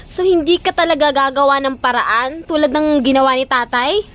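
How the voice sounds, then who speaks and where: Spoiled whiny pregnant gf, really high voice, pouty and pushy, audio drama. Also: female voice, normal speed, fairly bright tone. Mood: sulky. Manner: whiny, pouting, insistent. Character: demanding, vain, pregnant young girlfriend with cravings. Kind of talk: Filipino audio drama voice acting.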